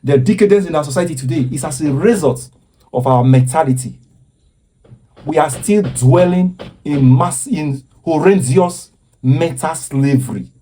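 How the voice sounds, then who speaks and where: A man speaks with earnest, preaching conviction and often stops to repeat words. His voice is dark and mellow with a husky edge. He lectures like a commentator making a case. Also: fairly high pitch, low-quality recording. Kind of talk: impassioned social commentary.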